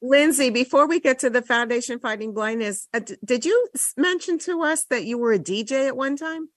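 Halting, easygoing female radio host. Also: high voice, lively intonation, dry recording, clean studio sound. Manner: halting, many restarts while steering the talk. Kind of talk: talk radio hosting.

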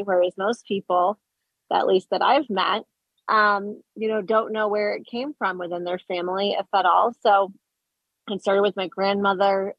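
A woman's voice: medium pitch, breathy edge, dry recording, highs cut off. A woman from Colorado, a guest on a radio show, chatting in a bubbly way and laughing easily. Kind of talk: casual interview chat.

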